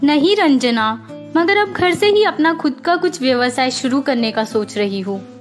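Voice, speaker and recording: A woman voicing a meek, hardworking young daughter-in-law in a cartoon, who speaks softly and quietly. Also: high voice, lively intonation, measured pace, faint music behind. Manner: soft, quiet.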